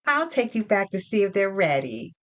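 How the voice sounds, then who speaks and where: A woman's voice: medium pitch, sing-song, normal speed, voice pushed up, lightly acted. A woman voicing a friendly, confident petite woman who greets visitors at an office in an audio drama. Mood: friendly, welcoming.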